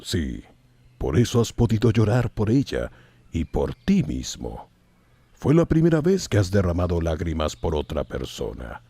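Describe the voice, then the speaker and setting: A man playing a wise, calm old wizard mentor in an audiobook, husky and measured in his delivery. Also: sits low, no echo, full character voice. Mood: serene, wise. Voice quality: gravelly, husky. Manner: slow, measured, sententious. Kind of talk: audiobook character acting.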